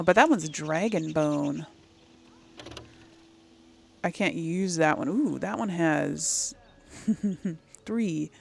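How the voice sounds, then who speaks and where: A woman's voice: lowish voice, creaky. A woman giving casual commentary as she plays a game, thinking aloud in a musing way. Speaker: gamer, series host.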